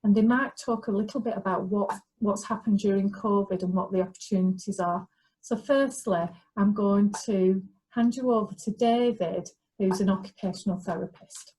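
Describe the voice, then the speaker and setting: A woman talks through training and career routes in an informational way. Her voice sits in a mid range, and her delivery is measured, with occasional restarts and ums. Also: mid-range voice, fairly even intonation, warm tone, low-quality recording. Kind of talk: informational talk.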